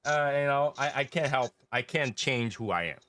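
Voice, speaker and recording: A man's voice: mid-range voice, animated, normal speed, a bit bright. A man with a Taiwanese accent hosting a casual group chat, often repeating phrases for emphasis. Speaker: host and leader of an online cigar community.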